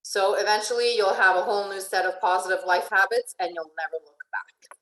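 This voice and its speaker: A woman's voice: medium pitch, a little fast, a bit bright. A woman who grew up in Venezuela, a life and relationship coach giving an informal online presentation. She talks in a chatty way, often restarting her sentences and checking in with "right?". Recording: echoey room.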